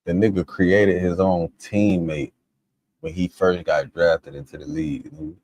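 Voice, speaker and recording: A man's voice: low-pitched, level tone, a little slow, a little mellow, no echo. A male streamer in casual, laid-back reaction commentary, musing aloud and swearing freely.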